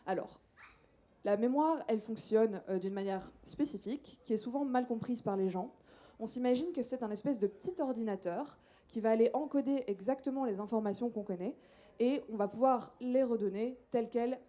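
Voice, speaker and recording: A woman's voice: on the high side, dark tone. A woman from Belgium with a Belgian French accent, giving a public science talk and addressing the audience directly with everyday examples.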